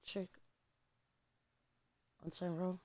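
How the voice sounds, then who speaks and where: A woman's voice: fairly low pitch, little pitch movement, drawn-out words, a little mellow, no echo. A woman streamer losing her voice, chatting casually in loose, joking banter while gaming.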